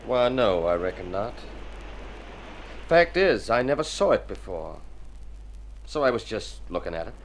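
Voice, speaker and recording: A wiry, guarded youth in a radio play. His voice sits fairly low and he talks laconically, cool and hard beyond his years. The old recording has a faint hiss. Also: big pitch swings, a little slow, long pauses, rough recording. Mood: cool, probing. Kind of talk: old radio drama acting.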